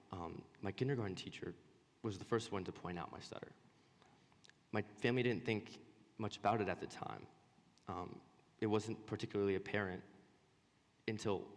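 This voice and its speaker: A young man, a student presenter, giving a personal speech on stage with a stutter, halting pauses and repeated words. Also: low voice. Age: young adult. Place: stage in a large hall. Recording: echoing hall with long reverb.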